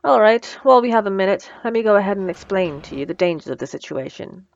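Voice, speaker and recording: A woman's voice: mid-range voice, animated, fast talker, gravelly, muffled recording. A woman playing a wry, menacing supervillain in a scripted audio roleplay, teasing and condescending, with cool threats. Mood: stern, threatening. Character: wry, menacing female supervillain mentoring a captive assistant.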